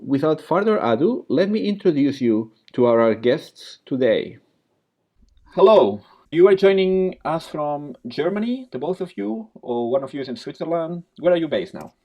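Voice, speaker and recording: Measured podcast host man, lo-fi sound. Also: mid-range voice, warm tone. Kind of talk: scripted introduction then interview. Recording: dull lo-fi sound.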